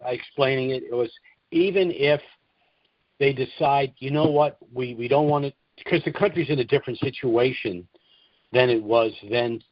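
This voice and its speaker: A man with a mid-pitched voice hosts a casual call-in conversation. He sounds folksy and agreeable. The line is dull and slightly lo-fi, with a very dry sound and no room around it. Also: moderate pace, dry recording. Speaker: conference call host. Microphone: telephone conference line.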